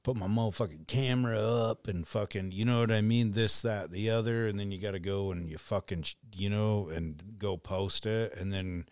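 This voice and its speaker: A man hosting a podcast, talking loosely and haltingly, with a lot of swearing. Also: lowish voice, a little mellow, clear, resonant tone, no echo. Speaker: podcast host. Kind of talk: casual chat.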